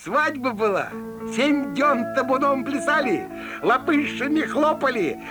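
Lively, high-pitched folksy storyteller. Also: male voice, unhurried, music in the background, heavily acted. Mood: playful, relishing. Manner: theatrical, playful, rustic folk-tale delivery. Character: folksy male storyteller of a Russian comic fairy tale. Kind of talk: scripted fairy-tale narration.

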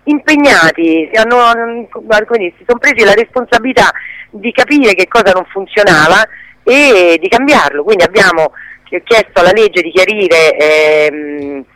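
A woman's voice: mid-range voice, lively intonation, unhurried, fairly bright tone, dry recording, poor audio. A woman speaking as a radio interview guest, explaining conversationally with hesitations and self-corrections. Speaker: interview guest, actress and association president.

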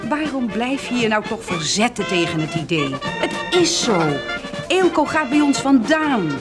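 A woman's voice: on the high side, very animated, moderate pace. A woman playing a firm, insistent farm mother reasoning with a stubborn man in a Dutch radio drama. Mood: exasperated, firm. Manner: insistent, frank. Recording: loud music and crowd behind.

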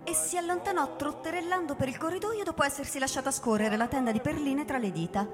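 A radio drama narrator, fairly high in pitch. She tells the story in a measured, evocative literary manner, with long reverb and some music beneath. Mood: measured narration. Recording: reverberant hall, music underneath.

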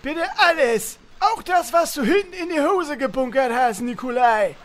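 An actor playing a pompous, bossy guild leader with a squeaky voice in a comedy audio drama. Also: lively intonation, a little hiss or hum. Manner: bossy, deliberate.

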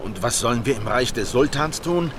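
An actor playing a hunted, watchful revolutionary who narrates a radio drama in clipped sentences. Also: male voice, medium pitch, animated, a little fast, noisy background, close to an everyday voice. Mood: weary, wary. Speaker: narrator and protagonist. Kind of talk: literary radio drama, present-tense scripted narration. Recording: music behind the voice.

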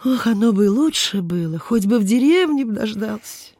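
A woman's voice: a little high, animated, moderate pace, a little mellow, slightly rough, dry recording, clean studio sound. An actress playing a fussy, pitying, devout landowner lady in a Soviet radio play, given to exclaiming and sighing. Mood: concerned, questioning.

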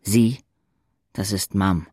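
A man's voice: on the low side, slow, darkish tone, breathy edge, no echo. An actor playing a sardonic fourteen-year-old paralysed boy who narrates a radio play in a dry, laconic way. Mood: wry. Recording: music behind the voice.

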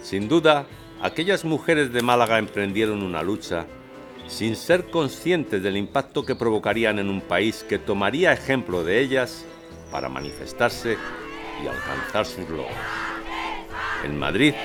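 A man playing a public newspaper reader in an audio drama, with a measured, declamatory delivery. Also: on the low side, big pitch swings, over music, a crowd in the background. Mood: solemn, declarative. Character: man who reads the newspaper aloud to local women. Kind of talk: audio drama acting, reading aloud.

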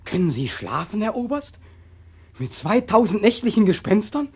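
Husky, tormented young ex-soldier. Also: male voice, high-pitched, big pitch swings, normal speed, darkish tone, exaggerated voice. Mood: desperate, accusing. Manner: fervent, insistent, repetitive monologue. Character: tormented young ex-soldier home from war, haunted by guilt. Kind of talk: German radio play acting.

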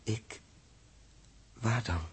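An actor playing a troubled, melancholy man lost in his memories, halting in speech, in 1960s radio drama style. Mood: forlorn, pleading. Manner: hesitant, halting.

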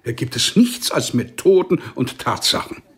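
A man's voice: medium pitch, lively intonation, moderate pace, husky. A man acting a strict, ambitious father and court official in a German radio drama, pompous, cajoling and demanding.

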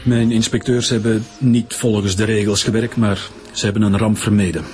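An actor as a stern Flemish police chief. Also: male voice, lowish voice, little pitch movement, moderate pace, a little mellow, faint music behind, lightly acted. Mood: formal. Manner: direct, formal, insistent. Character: stern, demanding male police chief questioning suspects. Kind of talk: Flemish radio drama acting.

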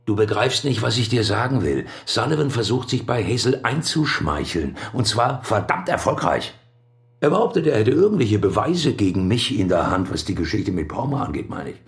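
Scheming male visitor, insinuating, very low gravelly voice, lively swings in pitch, radio drama. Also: moderate pace. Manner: insinuating, worked up, lively delivery. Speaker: visitor in prison.

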